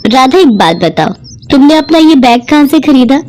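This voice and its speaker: A woman voicing a well-off, kindly and enthusiastic married lady, encouraging and expressive, in a cartoon moral story. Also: high-pitched, moderate pace, faint music behind, pitched above the performer's own voice. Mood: keen, curious.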